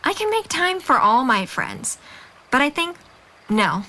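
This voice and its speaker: A woman's voice: sits high, sing-song, unhurried, sharp tone, exaggerated voice. A woman acting a kind, encouraging young friend in an audio drama, speaking with patient, sincere reassurance. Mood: earnest.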